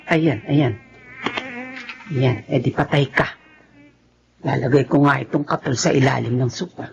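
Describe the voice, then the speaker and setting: High, fretful Filipino villager. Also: male voice, creaky, dull audio, slight background noise. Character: fretful, grumbling, easily frightened Filipino villager. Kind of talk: Filipino radio horror drama acting.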